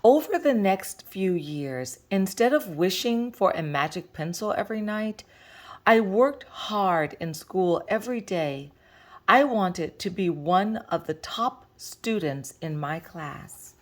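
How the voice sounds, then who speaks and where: A woman reading a picture book aloud to children as a storyteller, with deliberate, expressive phrasing. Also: medium pitch.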